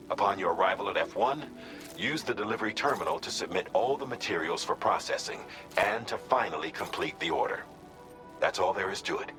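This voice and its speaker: A calm game mission coordinator with a low voice. He gives formal, precise briefings in a measured way. The sound is roomy and echoing, with music behind him.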